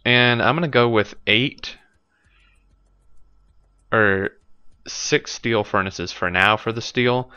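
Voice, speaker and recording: A man's voice: medium pitch, slow, pauses often, pushed and bright, smooth, no room sound, highs cut off. A man with a stuffy nose commenting casually on his gameplay, thinking aloud with lots of fillers, recorded on a desk microphone at home. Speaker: let's play host.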